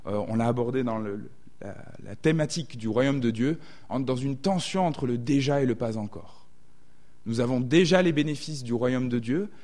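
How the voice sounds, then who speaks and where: A man's voice: medium pitch, dark tone. A man with a southwestern French accent preaching a sermon, thoughtful and often hesitating to reword his thoughts.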